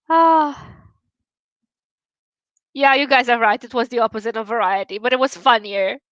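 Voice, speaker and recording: A woman's voice: a little high, measured pace, vocal fry, a bit bright, dry recording, muffled recording. A young woman from Romania with a Romanian accent, chatting candidly with her livestream viewers and swearing casually. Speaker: livestream host.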